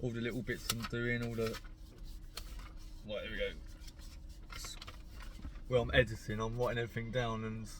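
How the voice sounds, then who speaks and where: A man's voice: mid-range voice, flat delivery, moderate pace, pauses often, noisy background. A young man talking casually to camera from his parked car, rambling and laid-back, with a sore throat that makes his voice crack. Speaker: car vlogger.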